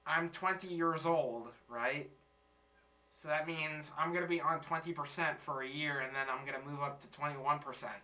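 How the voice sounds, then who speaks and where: Bright-voiced young man, heard from afar. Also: on the high side, fairly even intonation, recorded from a distance, rough recording. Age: 20s. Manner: halting, searching for words. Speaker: reaction channel host. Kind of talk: casual chat.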